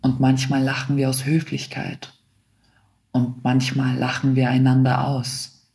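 A man slowly reading a reflective first-person text. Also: medium pitch, completely flat delivery, darkish tone.

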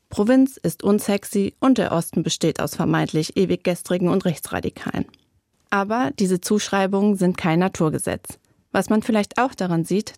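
A woman reading her own radio commentary in a measured, reflective and lightly ironic way. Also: mid-range voice, vocal fry, a little mellow, dry recording. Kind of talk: scripted radio essay. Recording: dry studio recording.

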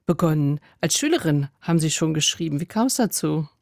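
A woman interviewer asking questions in a measured, formal way and summarizing the guest's story. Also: sits low, expressive, dry recording, clean studio sound. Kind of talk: radio-style interview questions.

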